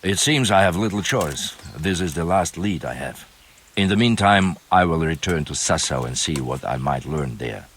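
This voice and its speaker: An actor playing a grim, guarded, world-weary knight with a formal, measured and ominous way of speaking, in a video game. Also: male voice, extremely low pitch, lively intonation, fairly bright tone, a little hiss or hum, exaggerated voice. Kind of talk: dramatic video game acting. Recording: music behind the voice.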